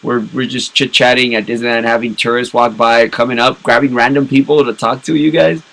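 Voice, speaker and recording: A man's voice: medium pitch, steady tone, fairly quick, gravelly, a bit bright, poor audio. A man co-hosting a casual live video podcast, rambling along with crude jokes. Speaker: podcast co-host.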